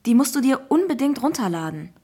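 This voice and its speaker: A woman voicing a chatty, enthusiastic schoolboy in a children's audiobook, with a chirpy, excited manner. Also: high-pitched, fairly quick, breathy edge, pitched above the performer's own voice, full character voice.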